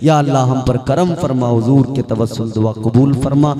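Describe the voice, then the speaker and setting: A man's voice: mid-range voice, steady tone, moderate pace, crowd noise. A man preaching and praying at a religious gathering in an emphatic, rhetorical, repetitive way. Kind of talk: impassioned sermon and supplication at a religious gathering. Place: large religious gathering at night.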